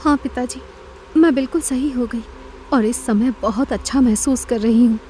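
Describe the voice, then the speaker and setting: Woman acting an eerie, calm revived daughter. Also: fairly high pitch, normal speed, a little hiss or hum, close to an everyday voice. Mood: eerie contentment. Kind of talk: Hindi horror cartoon story dialogue.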